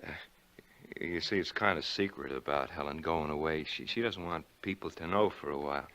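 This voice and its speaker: A man's voice: very deep voice, brisk, a bit bright. An actor playing a nervous, menacing man with a guilty secret, stammering and evasive, in 1940s radio suspense style. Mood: nervously coaxing. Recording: dull, band-limited 1940s radio recording.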